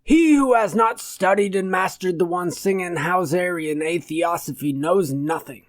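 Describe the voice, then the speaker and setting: A man's voice: sits high, a little slow, no room sound, clean studio sound. A man voicing a pompous, gloomy old philosopher guru in an audiobook, declaiming in wordy pronouncements. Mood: haughty, scornful. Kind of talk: audiobook character voice.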